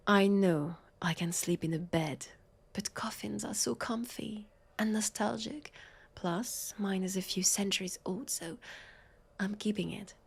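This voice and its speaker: A woman with a French accent playing a sardonic, weary, centuries-old vampire police officer in an intimate audio roleplay, breathy and sighing. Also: mid-range voice, slow pace, exaggerated voice. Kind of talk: intimate scripted audio roleplay addressed to listener.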